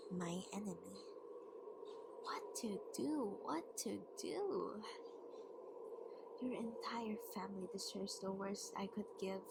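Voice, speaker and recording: Loud noise sits behind a vampire queen, acted by a woman. She is vengeful and taunting, speaking at a slow, deliberate pace in a medium-pitched voice. The delivery is intimate ASMR roleplay, gloating over a captive.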